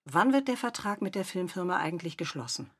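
A woman reading scripted radio feature narration in a measured, terse manner. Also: lowish voice, very animated, fairly bright tone, husky, full character voice. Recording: music under the voice. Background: music.

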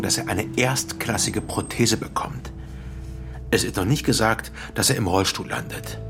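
An actor playing a composed, conciliatory male mayoral candidate with a measured, businesslike manner in a naturalistic radio crime drama. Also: on the low side, creaky. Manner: matter-of-fact, measured, businesslike.

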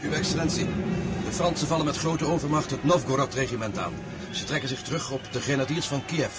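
An actor playing a brisk staff officer making formal reports to his general in a radio drama. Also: medium pitch, close to an everyday voice. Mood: urgent, formal.

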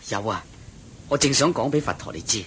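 An actor with a Hong Kong Cantonese accent playing an earnest monk disciple in a radio drama, deferential and inquiring. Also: male voice, animated, fairly quick, fairly bright tone, close to an everyday voice. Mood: respectful reporting. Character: Ananda, earnest, respectful male monk disciple. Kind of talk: Buddhist radio drama acting. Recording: noisy recording with low rumble.

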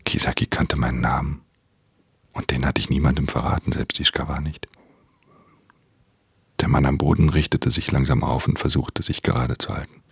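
An actor playing a wary, world-weary pickpocket in a radio play, terse, hushed and matter-of-fact in his telling. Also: rumbling low, flat delivery, moderate pace, creaky, long pauses, slightly rough, dry recording, heavily acted. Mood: hushed, tense. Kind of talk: German radio play narration and dialogue. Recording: music under the voice.

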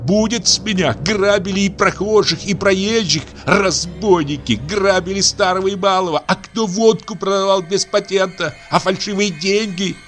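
A shrill, enraged young woman hurls accusations, her voice pitched far up and sobbing with rage, as a male reader puts her on. Faint music hums underneath. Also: normal speed, few pauses, fairly bright tone. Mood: furious. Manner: shrieking accusations.

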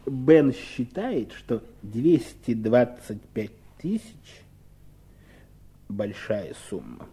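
A man's voice: mid-range voice, expressive, very slow, mellow, breathy edge, full character voice. An actor in a 1970s Soviet radio play playing a stern, impatient husband and businessman with an insistent, scolding manner. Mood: explaining, insistent.

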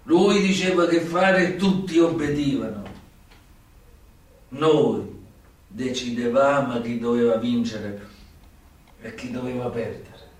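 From a distant mic in a very echoey space comes a man acting an old mafia turncoat in a radio play. He has a southern Italian accent and a mid-pitched voice. He is worldly and sly, talking slowly in short, weighty phrases with long pauses. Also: natural voice. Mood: knowing, grave. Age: older. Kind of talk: theatrical radio drama acting. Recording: very echoey stage, distant microphone.

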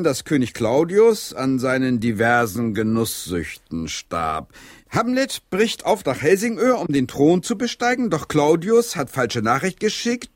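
A male actor plays an eager playwright and troupe leader in a radio drama. His delivery is theatrical and restless, with pitch that swings widely. The sound is dry and close, as he declaims to his company. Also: dry recording, lightly acted. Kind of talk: German radio play acting.

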